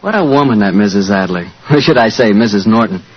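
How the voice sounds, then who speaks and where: Animated male 1940s radio announcer. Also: lowish voice, fast talker. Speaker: radio announcer and sponsor pitchman. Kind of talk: chatty 1940s radio advertising. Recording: dull vintage radio recording.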